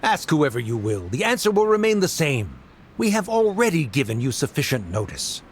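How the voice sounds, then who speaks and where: A man's voice: on the high side, big pitch swings, moderate pace, a little hiss or hum. A male actor playing a cold, condescending middle-aged official and father in a game, speaking in a formal, dismissive, officious manner. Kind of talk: English game dub voice acting.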